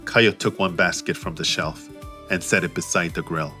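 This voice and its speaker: A man reading an audiobook romance as narrator in a measured, steady way. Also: low-pitched. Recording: faint music behind.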